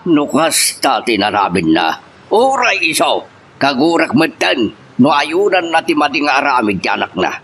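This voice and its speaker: A man's voice: medium pitch, big pitch swings, a little slow, fairly bright tone. A man with an Ilocano accent playing an indignant, stubborn older husband and father in a family drama. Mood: grave. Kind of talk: radio-style Ilocano family love drama acting. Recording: clear background noise.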